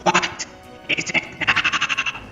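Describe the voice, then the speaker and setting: A man voicing a surly, scornful reptilian sea creature commander for a fan audio drama, slow and menacing with clipped replies. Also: sharp tone, highs cut off, heavily acted. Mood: weary impatience. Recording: distant, echoing, with music behind.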